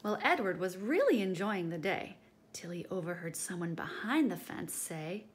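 A woman reading a children's picture book aloud as a storytime teacher, expressive and speaking directly to young listeners. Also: lowish voice, normal speed.

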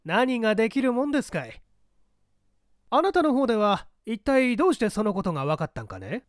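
A man voicing a pompous, brisk older government chief and bluff father with old-fashioned gentlemanly speech in an audiobook story. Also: high voice, very animated, no echo, clean studio sound, voice pushed up. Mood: scoffing, incredulous.

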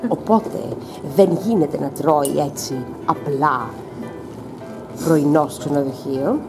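A woman's voice: sits low, expressive, music in the background. A young woman narrating a travel vlog in a mock-serious, ironic tone. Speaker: vlogger.

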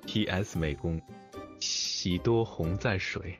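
A man hosting casually, with a hesitant, halting way of speaking. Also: deep voice. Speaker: interview host. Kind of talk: casual hosting, reading out credits and questions. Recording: dull lo-fi voice chat with music.

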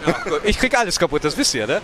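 A man's voice: on the high side, big pitch swings, racing, over loud music. A man in his thirties hosting a casual vlog in a hyped, slangy, self-deprecating way. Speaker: vlog host. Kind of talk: casual, excited on-location vlog chat. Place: busy glass-fronted event hall with racing simulators. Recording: noisy event hall, vehicle sounds behind.

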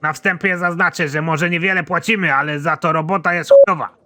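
Male actor as exasperated cartoon general, middle-aged, sharp forceful tone, somewhat high, sardonic and crude. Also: fairly even intonation, brisk. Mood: brusque, sardonic. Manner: blunt, sardonic, swearing. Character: foul-mouthed, exasperated army general, middle-aged man, absurdly pompous. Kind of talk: animated comedy series dialogue.

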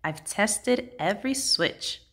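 A woman's voice: medium pitch, lively intonation, slow, husky. A woman voicing a plucky, determined young girl rocket captain in a storybook, earnest and upbeat.

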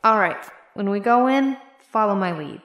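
A woman's voice: medium pitch, lively intonation, normal speed, a bit bright, dry recording, studio quality, natural voice. A woman playing a candid, chatty young graduate student in an audio drama. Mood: explaining. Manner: conversational, candid.

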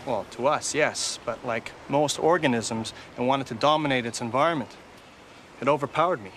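A man's voice: medium pitch, normal speed, fairly bright tone, a little hiss or hum, natural voice. An actor playing an earnest middle-aged scientist father in a children's horror drama. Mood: calm, explaining. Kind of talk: dramatic screen acting. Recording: music under the dialogue.

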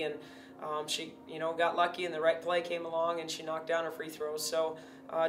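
A woman coach in her forties talks through a post-game interview in a steady, measured way, pausing on fillers. Her voice stays fairly even in pitch. She sits a little back from the mic in a room with a noticeable echo. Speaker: head basketball coach. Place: indoor press backdrop. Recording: roomy, distant, faint hum.

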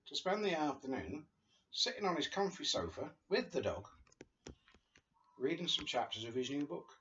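A man's voice: medium pitch, a bit bright, dull audio. An older man reading a friend's memoir aloud at home, chatty, with chuckling asides between passages. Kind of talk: casual book reading to camera. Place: living room sofa, indoors.

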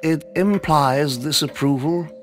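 A man acts as an aging, formal butler in a motion-comic drama. His fairly high voice is measured and dry, politely disapproving, with faint music underneath. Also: lightly acted. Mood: dryly disapproving. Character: older male butler, proper, dry, patiently disapproving. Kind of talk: comic-book drama dialogue.

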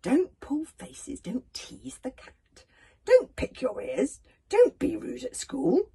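A woman doing a high, nagging scolding parent. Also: huge pitch swings, slow pace, creaky, warm tone, slightly rough, full character voice. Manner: exasperated, emphatic listing.

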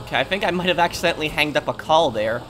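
A young man giving casual, halting gameplay commentary. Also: on the high side, expressive. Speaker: gaming video host. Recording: faint game noise behind voice. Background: game ambience and vehicles.

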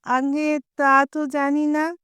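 A simple, fawning male workman, put on by a woman reading a story. He speaks humbly and a little higher than a plain voice, slow and unhurried. The sound is clean and dry, close to the mic. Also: flat delivery, fairly bright tone, no echo. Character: meek, simple-minded male mason, grovelling.

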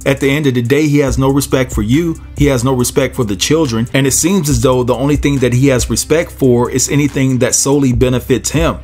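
A man's voice: medium pitch, steady tone, a little fast, hardly any pauses, darkish tone, soft background music. A man hosting a commentary channel, nasal from a head cold, reading a court filing aloud and then giving his casual opinion to viewers. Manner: casual, addresses viewers as y'all and guys.

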